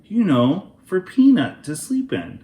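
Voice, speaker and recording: Chirpy, bossy young girl for a children's book, lifted pitch, performed by a man, echoey room. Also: wildly swooping pitch, measured pace, warm tone, full character voice. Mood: gentle, coaxing. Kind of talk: children's storybook read-aloud.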